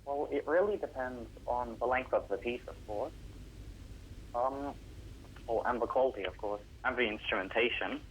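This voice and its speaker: A man's voice: medium pitch, normal speed, fairly bright tone, slight background noise, rough recording. A teenage boy, a Russian speaker at home, answering radio interview questions about composing, earnest and explaining at length with some hesitations. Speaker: young composer guest.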